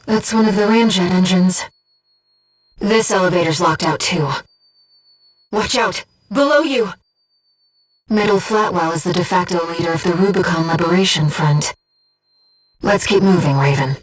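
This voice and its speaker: A woman voicing an earnest, gentle guide who speaks inside a pilot's head in a mecha video game, in measured scripted delivery. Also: on the low side, distant mic. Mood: urgent alarm, then earnest, reflective. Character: soft-spoken, earnest female voice in a pilot's head, loyal guide. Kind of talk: scripted video game voice acting, tactical callouts.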